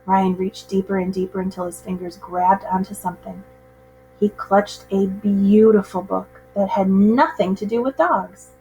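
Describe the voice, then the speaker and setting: Woman, middle-pitched, expressive storybook read-aloud, measured phrasing, teacher reading to children, music in the background. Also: highs cut off.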